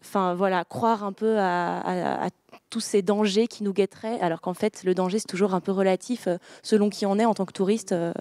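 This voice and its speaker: A woman's voice: medium pitch, fairly even intonation, fairly quick, darkish tone, no echo, clean studio sound. A young woman from France, an author answering interview questions with many hesitations and restarts. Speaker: author guest. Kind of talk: interview conversation.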